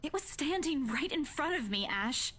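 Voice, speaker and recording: A woman's voice: high voice, very animated, normal speed, a bit bright, voice pushed up. A woman voicing a feisty, easily rattled young woman in a visual novel, insistent and defensive. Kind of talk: visual novel character read-aloud. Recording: background music behind voice.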